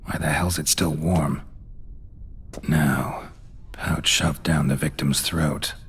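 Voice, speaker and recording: Very deep, stoic monster hunter. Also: male voice, slow, a little hiss or hum, full character voice. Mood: brooding. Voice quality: gravelly, hushed. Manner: terse, deadpan. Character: stoic, world-weary male monster hunter. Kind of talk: video game voice acting.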